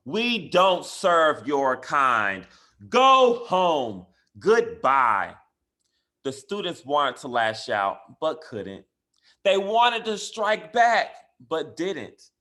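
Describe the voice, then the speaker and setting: Slow, bright-voiced man reading a storybook. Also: medium pitch. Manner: deliberate, emphatic phrasing with dramatic stresses. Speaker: school educator reading a bedtime story. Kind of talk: expressive picture-book read-aloud for children.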